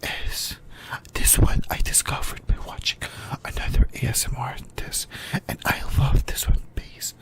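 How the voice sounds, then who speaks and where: An amateur ASMR host speaks entirely in a breathy whisper. The delivery is hushed and informal, drifting into casual asides while presenting to listeners. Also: moderate pace, hardly any pauses.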